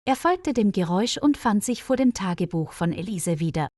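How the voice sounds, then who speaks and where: A woman's voice: mid-range voice, expressive, normal speed, darkish tone, no echo. A woman reads a scripted mystery tale as a storyteller. The recording is very dry, with no room sound. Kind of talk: scripted narration of a mystery story for German learners.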